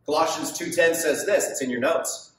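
A man's voice: fairly high pitch, moderate pace, fairly bright tone. A man in his thirties preaching a sermon, emphatic and asking the congregation questions as he gestures on stage. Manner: gestures widely while preaching. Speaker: pastor. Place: stage of a large indoor hall. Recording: very echoey hall, mic far off.

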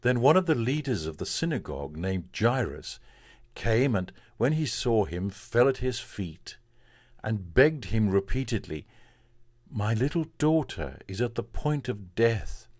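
A man, a priest, reading from the Bible in a solemn, measured and deliberate way. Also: fairly low pitch, sing-song, no echo, studio quality.

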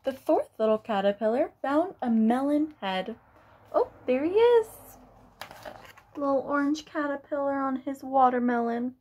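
A woman's voice: high-pitched, expressive, measured pace, a little mellow, a little hiss or hum. A young woman reading a picture book aloud to children, adding exclaiming, casual asides as a teacher would. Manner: exclaiming, child-directed commentary.

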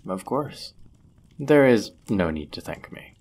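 A man acting a deep-voiced, courteous medieval innkeeper. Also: very animated, husky, heavily acted. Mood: gentle, courteous. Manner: gentle, polite, measured, formal wording. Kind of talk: intimate roleplay audio acting, formal old-fashioned speech.

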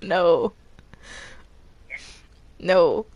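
A woman's voice: lowish voice, drawn-out words, darkish tone, no room sound, lo-fi sound. A woman in casual improvised gameplay chat, hesitant and stammering, often repeating her words. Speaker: gamer in a group roleplay.

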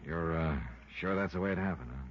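An American actor playing a wry, suspicious insurance investigator with clipped, probing delivery in a 1950s radio detective drama. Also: male voice, low voice, fairly quick, natural voice. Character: hard-boiled, suspicious male insurance investigator. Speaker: lead actor and narrator. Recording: lo-fi old radio recording, loud noise.

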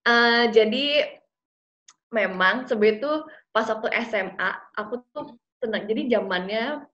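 A woman's voice: a little high, measured pace, fairly bright tone. Young woman, muffled video-call sound. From Indonesia, raised partly abroad in London and Ethiopia. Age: 20s. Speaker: interview guest. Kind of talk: casual chat mixing Indonesian and English. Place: small plain room indoors.

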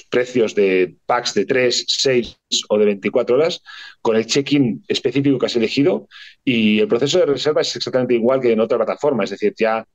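A man's voice: mid-range voice, dull audio. A man from Barcelona with a Spanish accent, a company founder answering interview questions fluently but with frequent hesitations and repeated words. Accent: Spanish from Spain. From Spain, Barcelona. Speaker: startup founder and CEO, guest.

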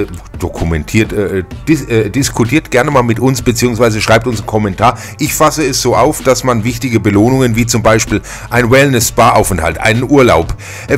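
A man hosting a casual discussion podcast, searching for his words now and then as he talks. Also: mid-range voice. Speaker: podcast host. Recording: music playing behind the voice. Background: background music.

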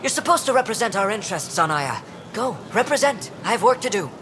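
Actress as irritable woman scientist, game dialogue, curt, sarcastic, very varied pitch, clear background ambience. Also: sing-song, a little fast, background noise, natural voice. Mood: haughty, impatient. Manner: curt, self-important, sarcastic. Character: haughty, irritable woman scientist in space colony. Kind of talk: video game dialogue acting.

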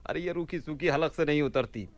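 A man playing an excitable, pleasure-loving commoner in a radio play, exclamatory and theatrical. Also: a little high, no room sound, natural voice. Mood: playful, scheming. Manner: theatrical, exclamatory, drawn-out phrases. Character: excitable, pleasure-loving, bewildered young Baghdad husband and commoner. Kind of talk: Hindi radio play acting.

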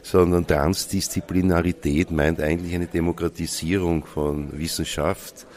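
Deep-voiced man explaining a concept. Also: little pitch movement, darkish tone. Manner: deliberate, defining terms carefully. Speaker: researcher speaking at an event. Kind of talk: explanatory academic statement.

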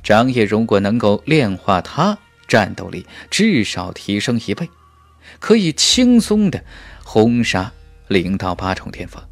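A man's voice: on the low side, sing-song, a little slow, vocal fry, dry recording, faint music behind. A man narrating a fantasy novel as a scripted audiobook, with a dramatic storytelling delivery.

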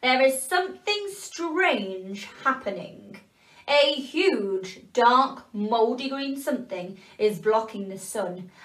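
A woman voicing an old male grandfather elf who gives loud, slow, grave warnings to the other elves. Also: fairly high pitch, echoey room, poor audio.

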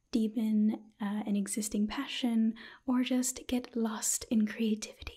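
A woman in her twenties presenting softly in an ASMR style, with a breathy, often whispered voice. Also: fairly high pitch. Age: 20s. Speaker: ASMR video creator reading a sponsor message. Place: small indoor room.

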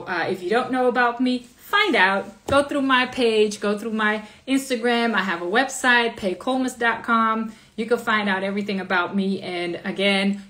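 Bright-voiced Dutch woman live-streaming to viewers. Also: medium pitch. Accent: slight American. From Netherlands. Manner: chatty, upbeat, reads out viewer comments. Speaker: live-stream interview host. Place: small room indoors. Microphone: phone camera at arm's length.

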